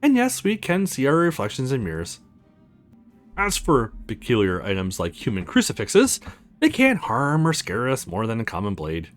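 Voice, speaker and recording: A man plays an ancient vampire lord from a visual novel. He is courtly and wry, speaking in formal, measured phrases with very wide swings of pitch. Music plays behind him. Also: wildly swooping pitch. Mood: wryly amused. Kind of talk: visual novel character voice read aloud.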